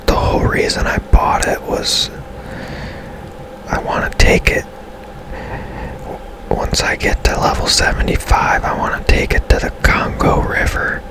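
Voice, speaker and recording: Male, breathy whisper, very slow pace, casual and halting, ASMR gaming commentary, channel host. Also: poor audio.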